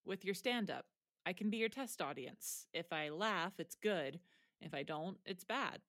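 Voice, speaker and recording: A woman's voice: fairly low pitch, lively intonation, unhurried, a bit bright, no room sound. A woman acting in an audio drama as a guarded, stressed teenage girl athlete, with a dry, defensive delivery. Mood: dry, helpful.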